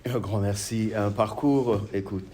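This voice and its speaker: A man's voice: on the low side, measured pace. A man from Congo, a guest author and police officer answering interview questions with many fillers and restarts. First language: French. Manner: hesitant, many fillers and restarts, grows animated.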